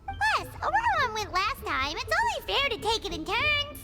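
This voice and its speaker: A tiny game sidekick, extremely high and squeaky. The little creature sounds childlike, curious and excitable, swooping in pitch over prominent music. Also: female voice, normal speed, full character voice. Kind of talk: cartoonish video game voice acting.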